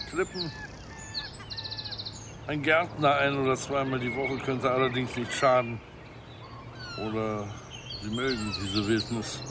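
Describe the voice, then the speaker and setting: An actor playing a chatty, insinuating private investigator in a radio drama, deferential and sly in clipped remarks. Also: male voice, mid-range voice, lightly acted. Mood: chatty, probing. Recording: clear outdoor ambience noise, birds, vehicles.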